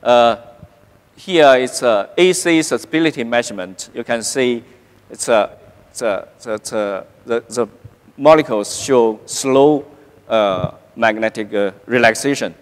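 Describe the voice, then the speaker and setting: A middle-aged man giving a keynote science lecture at a conference, speaking haltingly with many "uh" fillers and restarts. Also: medium pitch, smooth. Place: large conference hall stage. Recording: long hall reverb.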